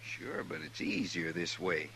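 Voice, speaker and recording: A man's voice: low-pitched, sing-song, unhurried, gravelly, bright, forceful tone, heavily acted. An actor playing a genial but firm reformed gambler in a 1950s radio western, self-assured and easygoing in his talk. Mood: confident, firm. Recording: faintly noisy old radio recording.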